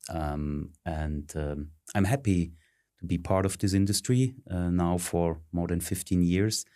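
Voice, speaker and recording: A middle-aged man with a very low voice talks through his career. He pauses often, filling gaps with ums and uhs. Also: dark tone. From Switzerland. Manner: halting, many ums and uhs. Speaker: interview guest, search consultant. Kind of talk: conversational interview.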